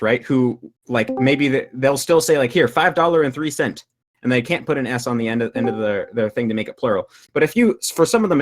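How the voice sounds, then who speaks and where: Young man explaining in a halting way. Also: medium pitch. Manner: explanatory, hesitant, many fillers and restarts. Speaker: language-learning video creator. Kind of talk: casual discussion. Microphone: video call.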